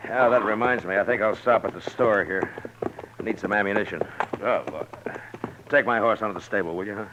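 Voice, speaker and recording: Frontier marshal, played by a male actor: American, very low voice, dry and skeptical; old muffled, noisy radio drama sound. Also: natural voice. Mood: calm, easygoing. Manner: dry, unhurried, authoritative. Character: steady, skeptical frontier U.S. marshal in middle age. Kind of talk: 1950s radio western acting.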